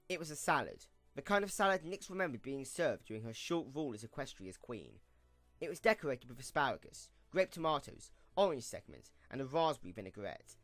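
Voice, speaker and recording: A man's voice: mid-range voice, a bit bright, no room sound. A man reading scripted story narration as the narrator of an audio drama.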